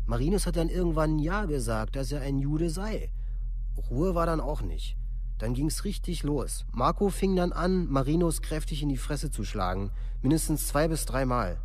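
An actor playing a rough young village man who gives a plain, unemotional account in a documentary radio play. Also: fairly high pitch, darkish tone. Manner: matter-of-fact, plain, unemotional recounting.

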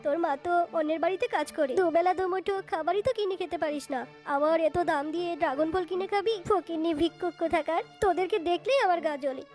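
A woman's voice: very high-pitched, fast talker, few pauses, darkish tone. A woman voicing a haughty, mean cartoon tigress mother who scolds with contempt and threats. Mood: scornful, angry. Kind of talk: cartoon voice acting. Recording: music behind the voice.

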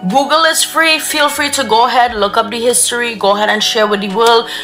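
A woman's voice: on the high side, fairly even intonation, a little fast, pushed and bright, soft background music. Earnest young woman filming herself on a phone. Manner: earnest, preachy, speaks to viewers directly. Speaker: content creator. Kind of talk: casual talk to camera. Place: indoor room. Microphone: phone at arm's length.

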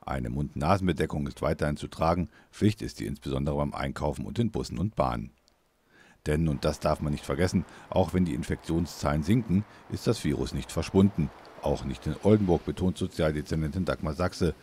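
A man's voice: a bass voice, fairly quick, darkish tone, slight background noise. A man reading a scripted news voice-over as a reporter.

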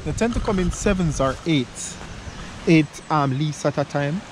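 A man's voice: on the high side, animated, moderate pace. A man in his thirties, filming a foraging vlog with a handheld camera in a wooded glen, musing aloud in a chatty way. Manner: chatty, muses aloud, laughs at own ideas. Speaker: foraging vlogger. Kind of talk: casual outdoor vlog. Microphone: action camera held at arm's length. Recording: outdoor camera audio with wind and leaf noise. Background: rustling leaves and outdoor ambience.

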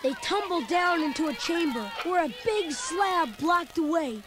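High-voiced woman reading a storybook. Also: lively intonation, measured pace. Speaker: narrator. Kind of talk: storybook read-along narration. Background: music.

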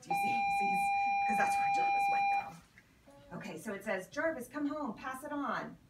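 A woman's voice: high voice, fairly even intonation, moderate pace. A woman, a teacher, reading a picture book expressively to young children and prompting them with questions as she projects to the group. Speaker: elementary school teacher. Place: elementary school classroom, indoors. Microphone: camera across the room. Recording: roomy, noisy classroom, distant camera mic. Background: children's voices and classroom noise.

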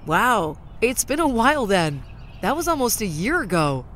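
A woman's voice: on the high side, very animated, normal speed, fairly bright tone, a little hiss or hum. A woman playing a chatty, caring young lawyer in an amateur audio drama. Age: young adult.

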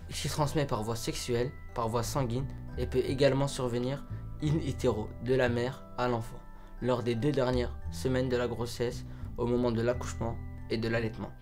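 Young male narrator, scripted and clear, faint guitar behind. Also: medium pitch, little pitch movement, moderate pace, a little mellow. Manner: clear, read-out delivery. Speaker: narrator giving the facts.

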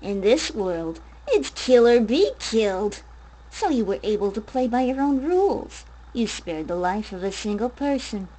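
A woman's voice: a little high, sing-song, a little slow, warm tone, poor audio. A woman voicing a gleefully sinister game villain prince, mocking and menacing, stretching words for effect. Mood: mocking menace. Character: gleefully sinister, taunting prince of a kill-or-be-killed world.

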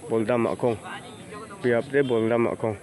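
A man's voice: medium pitch, steady tone, unhurried, darkish tone. A man filming a casual walking vlog on his phone at a rural outdoor fair, chatting and greeting people as he goes. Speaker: vlogger. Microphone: handheld phone camera.

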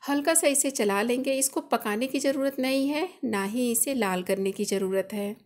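A woman's voice: on the high side, normal speed, darkish tone. Friendly woman talking through a recipe. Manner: friendly instructive, chatty home-cook explaining. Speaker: cooking channel host. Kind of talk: recipe voice-over narration.

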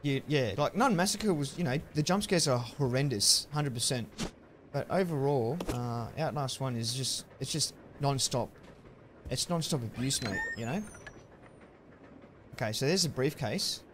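This is a laid-back Australian man in his thirties, chatting to viewers on a headset mic during a live game stream. His pitch sits in the middle of the range, and his easy chatter now and then jumps into loud shouts. A faint hum runs behind him. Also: normal speed. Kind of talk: casual live-stream chat while gaming.

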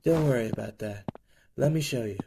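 A man's voice: medium pitch, a little fast, vocal fry, dark tone, slight background noise, lightly acted. A man voicing an upbeat, confident, teasing doctor in a comic fan dub. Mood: breezy. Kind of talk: dubbed comic dialogue.

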